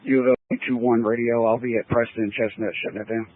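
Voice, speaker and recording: Male cop radioing in, tinny scanner sound, fast and flat. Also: medium pitch. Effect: police radio. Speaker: police officer radioing in. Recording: narrow phone-like scanner radio.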